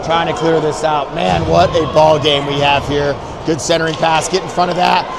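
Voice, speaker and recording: A man doing play-by-play for a youth hockey game, folksy and excitable, shouting on the big plays. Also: on the high side, normal speed, vocal fry. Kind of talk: amateur play-by-play commentary. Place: rink arena, up in the stands. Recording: loud arena crowd and noise. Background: loud crowd and rink noise.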